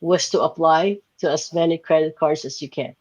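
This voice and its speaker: A man's voice: a little high, steady tone, moderate pace, no room sound. A young man from the Philippines answering interview questions casually, explaining with lots of fillers and "right?" checks. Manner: loose, filler-heavy explaining with frequent "like" and "right?". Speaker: guest entrepreneur.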